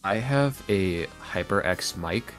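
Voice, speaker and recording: A man's voice: deep voice, lively intonation, slow, soft background music. A man talking casually and earnestly as a podcast guest, in an informal way. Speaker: podcast guest, ASMR roleplay creator. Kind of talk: casual interview chat.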